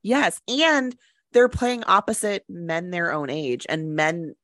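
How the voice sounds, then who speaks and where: A woman with a very low, dark-toned voice, co-hosting a chatty film podcast. She rambles along with frequent fillers, recorded close and dry. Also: slow pace, no room sound. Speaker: podcast co-host. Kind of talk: casual film chat.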